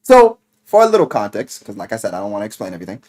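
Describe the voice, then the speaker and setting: A man casually retelling a video game story in an animated, rambling, slangy way, with plenty of swearing. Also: on the low side, rapid-fire. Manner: animated, exaggerated, swearing, acts out quotes in mock voices. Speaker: game reviewer.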